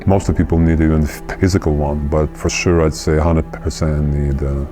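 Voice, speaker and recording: A man, a soldier, speaking haltingly with many fillers in an interview. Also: very deep voice, fairly even intonation, over music. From Ukraine, raised in Canada. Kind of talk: interview testimony.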